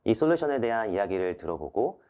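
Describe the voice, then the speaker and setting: A man's voice: on the low side, sing-song, fast talker, muffled recording. A man hosting a webinar in a polite, formal, scripted way, summing up and posing questions. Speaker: webinar host, moderator. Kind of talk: scripted moderated webinar talk.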